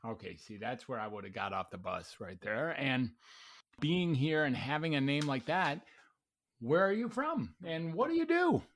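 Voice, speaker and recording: A man's voice: medium pitch, lively intonation, moderate pace, a bit bright, slight background noise. A man hosting a podcast, chatting casually and affirming his guest with frequent "mm-hmm"s. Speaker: podcast host and psychiatrist. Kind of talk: casual interview chat.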